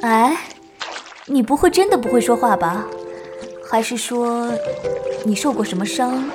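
A woman playing a kind young martial arts wanderer in a Chinese audio drama, gentle and solicitous. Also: on the high side, animated, unhurried, over music. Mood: gentle concern.

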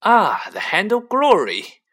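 A man's voice: high voice, wildly swooping pitch, measured pace, sharp tone, voice pushed up, exaggerated voice. A man voicing an oily, servile and sly shopkeeper with an obsequious, deferential manner in a storybook reading. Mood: fawning. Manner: obsequious, eager to please, repeatedly deferential.

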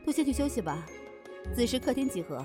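A woman playing the calm, commanding, teasing boss of a supernatural inn in an audio drama. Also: fairly high pitch, fast talker, music in the background. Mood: quietly threatening.

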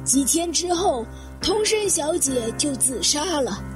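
Woman playing high-voiced, composed widow in a mystery drama. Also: animated, takes it slowly, over music. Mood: grave, composed. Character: composed older widow, Madam Honma, recounting a suicide. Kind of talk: Chinese audio detective drama acting.

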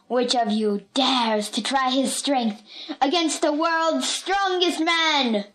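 A woman voicing a bombastic male circus ringmaster from a storybook, announcing grandly with drawn-out words and a short temper. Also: high-pitched, a bit bright, full character voice.

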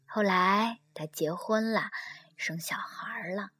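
A woman voicing a very old male golden retriever in a storybook, sly but resigned, with a breathy, whispery, slow and wistful delivery. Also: medium pitch, pushed and bright, soft background music, heavily acted.

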